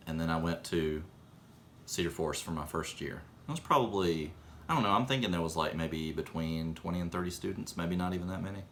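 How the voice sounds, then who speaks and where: A man casually telling rambling anecdotes in conversation, halting and correcting himself as he goes. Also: low-pitched, fairly bright tone, slight background noise. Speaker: storyteller recalling his own childhood.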